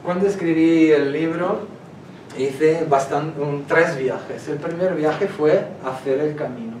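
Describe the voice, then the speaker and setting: A man with an Italian accent gives a lecture in a second language, searching for words and gesturing as he goes. His voice sits slightly high. He is recorded by a far camera in an echoey, noisy room. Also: slow, a little mellow. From Italy. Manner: gestures while talking, hesitant, searches for words. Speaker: guest speaker. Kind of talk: public lecture. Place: small lecture room in a civic centre. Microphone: far camera, no mic.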